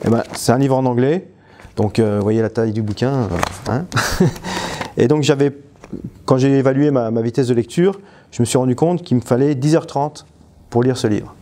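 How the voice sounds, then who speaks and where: A man's voice: mid-range voice, moderate pace, warm tone, slight background noise. A man, a trainer and stress coach, giving a spontaneous testimonial, hesitant, restarting phrases and trailing off with filler words. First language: French.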